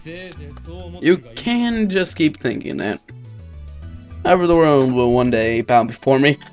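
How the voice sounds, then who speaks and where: A man's voice: fairly high pitch, expressive, slow, soft background music. A man voicing a self-important, delusional young mad scientist from a game, dramatic and grandiose.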